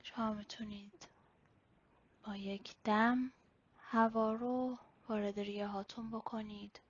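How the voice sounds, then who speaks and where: A woman leading a guided body-scan meditation in a slow, instructional way with pauses. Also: mid-range voice, fairly even intonation, breathy edge. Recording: slightly dull, lo-fi recording.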